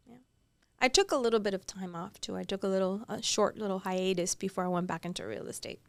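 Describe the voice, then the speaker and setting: A woman in her forties, born in Cuba and raised in Miami, talks through her past as a podcast guest. Her voice is smooth and clear, sits at an ordinary pitch, and is recorded dry and close. She tells it in a relaxed way, with laughter coming easily. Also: quick pace, dry recording. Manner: warm storytelling with frequent mm-hmms and easy laughs. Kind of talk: casual interview chat.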